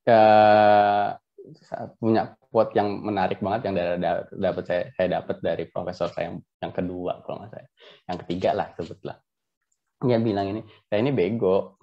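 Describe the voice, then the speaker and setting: Low, mellow Indonesian man, self-deprecating. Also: dull audio. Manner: self-deprecating, chuckles often. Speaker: interview guest. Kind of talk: casual personal storytelling.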